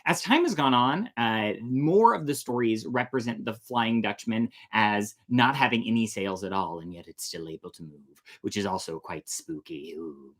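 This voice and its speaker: A man's voice: fairly low pitch, moderate pace, few pauses, a bit bright. A man hosting a casual storytime, chatty and jokey as he explains things in asides. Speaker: storytelling host.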